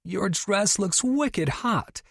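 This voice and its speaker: A woman's voice: sing-song, unhurried, creaky, slightly rough, no room sound, studio quality, full character voice. A squealing, gushing young woman. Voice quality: shrill, squealing. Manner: bubbly, breathless gushing. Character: gushing, excitable young woman best friend. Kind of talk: audiobook character voice.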